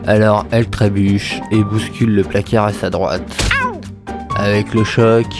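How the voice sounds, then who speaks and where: A slightly deep voice over clear background music: a man performing an exasperated police inspector in an audio comedy. He is bossy and sure of himself, delivering everything in a weary deadpan. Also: a little slow. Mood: self-assured, explaining. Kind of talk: comedic audio drama acting. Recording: music under the voice.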